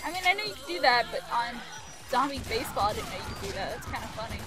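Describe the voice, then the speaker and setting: Very high, excitable woman gamer. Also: fast talker. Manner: playful, excitable, singsong. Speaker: gaming video host. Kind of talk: casual playthrough commentary. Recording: game music behind the voice.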